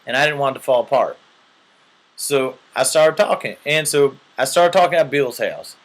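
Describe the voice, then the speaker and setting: A man's voice: medium pitch, normal speed. Earnest rambling male storyteller. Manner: earnest, rambling, keeps asking "right?". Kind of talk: rambling mock-serious storytelling.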